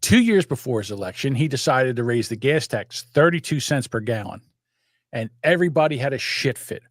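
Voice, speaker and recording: A man's voice: medium pitch, fairly quick, no echo, studio quality. Profane rambling New man. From South Jersey. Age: older. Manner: rambling, profane, animated storytelling. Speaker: podcast co-host. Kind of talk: casual chat.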